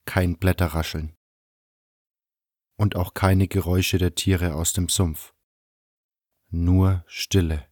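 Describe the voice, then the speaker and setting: A man narrating a fan radio play as a dramatic scripted story, with measured, deliberate phrasing and pauses. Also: deep voice, darkish tone, no room sound, studio quality. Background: music.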